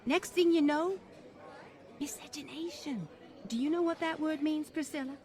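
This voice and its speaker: A high, fluttery voice for a genteel grandmother of means, acted by a woman. She is prim and condescending, wrapped in affected politeness and easily flustered. The delivery is old-time radio drama. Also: moderate pace, a little mellow, some chatter behind, natural voice. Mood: anxious, disapproving. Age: older.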